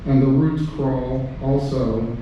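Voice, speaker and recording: A man's voice: medium pitch, fairly even intonation, takes it slowly, dull audio. A man speaking and reading aloud at a live poetry reading, recorded in a reverberant room. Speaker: poetry reader. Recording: echoey room, distant mic, faint noise.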